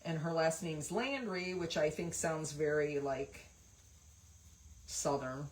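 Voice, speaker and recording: A woman's voice: low voice, takes it slowly, poor audio. A woman hosting a craft livestream, chatting casually and rambling with lots of fillers while she paints. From West Virginia, USA.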